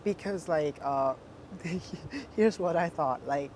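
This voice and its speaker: A young woman in her twenties with a deep voice, answering interview questions in a loose, chatty way, full of fillers. Faint street noise and passing traffic hum behind her. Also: lively intonation, slow pace, darkish tone. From Thailand. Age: 20s. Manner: chatty, many fillers, laughs often. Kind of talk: casual interview chat.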